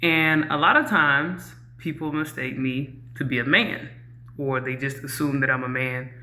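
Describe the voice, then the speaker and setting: A woman with a very low voice, talking candidly to camera in a reflective way, with many ums and you-knows. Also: a little slow, bright, forceful tone, slight background noise. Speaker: YouTuber. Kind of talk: casual talk to camera.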